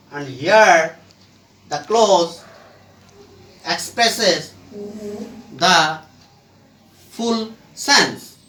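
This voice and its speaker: A teacher explaining English grammar step by step, in short halting phrases broken by pauses. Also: mid-range voice, sharp tone. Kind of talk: slow explanatory grammar lesson. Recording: roomy echo, distant microphone.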